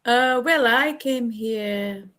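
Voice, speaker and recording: A woman's voice: on the high side, drawn-out words, sharp tone. A woman from Indonesia, a preschool teacher and English learner, chatting on a video call in a thoughtful way and laughing easily. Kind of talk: casual video call chat. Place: home in the Netherlands.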